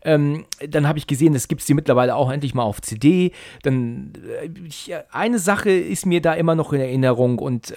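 A man with a slightly high voice interviews in a casual, conversational way. He feels his way through sentences, hesitating and rephrasing. The sound is dry, with hardly any room to it. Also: darkish tone, no echo. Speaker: podcast interviewer.

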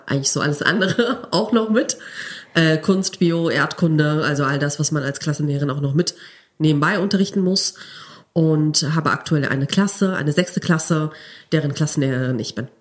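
Low-voiced young woman telling stories. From Cologne, Germany. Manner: storytelling, laughs while telling. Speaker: podcast guest, teacher. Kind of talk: casual podcast chat.

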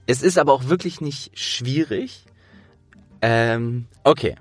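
A man's voice: medium pitch, slow, breathy edge, no echo, soft background music. A man chatting casually as an interviewer, with a trained voice actor's delivery. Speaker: interviewer and host.

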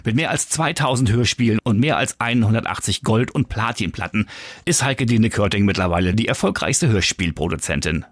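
A man's voice: lowish voice, no room sound. A man presenting on radio, reading scripted narration and putting questions as an interviewer.

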